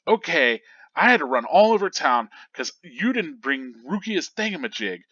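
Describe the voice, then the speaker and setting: A man voicing a whiny, mischievous male plush-toy spirit with a shrill, squealing voice. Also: very animated, moderate pace, a bit bright, dry recording, highs cut off. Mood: complaining.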